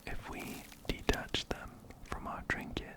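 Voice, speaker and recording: A man hosting an ASMR game playthrough in a gentle, breathy whisper, very close to a binaural microphone. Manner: gentle, unhurried, soft-spoken. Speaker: ASMR gaming host. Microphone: close binaural microphone, ear to ear.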